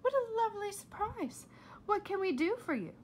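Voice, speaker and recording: A woman's voice: very high-pitched, very animated, moderate pace, a little hiss or hum, pitched above the performer's own voice. A woman voicing a dramatic storybook mother who gushes and exclaims with fake cheer. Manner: gushing, exclamatory.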